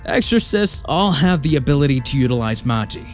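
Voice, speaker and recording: An earnest young male exorcist acted by a man, with a very animated, swinging delivery. He explains emphatically in fantasy audiobook style, over faint music. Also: medium pitch, a little fast, natural voice. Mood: explaining, assured. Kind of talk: dramatised fantasy audiobook acting.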